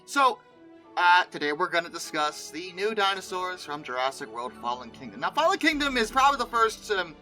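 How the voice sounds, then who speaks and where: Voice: medium pitch, big pitch swings, brisk, bright, forceful tone, soft background music, poor audio. A video host giving casual, opinionated commentary in a rambling, self-correcting, colloquial way. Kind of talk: casual commentary on film dinosaurs.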